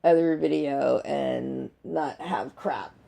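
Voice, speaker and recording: A woman in her forties with a dry, gravelly voice, talking blunt and unscripted in a personal video, punctuating her points with "okay?". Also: a bass voice, slow pace, dark tone. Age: 40s. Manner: blunt, emphatic, often checking with "okay?". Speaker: video blogger. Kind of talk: unscripted personal monologue to camera. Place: bedroom at home.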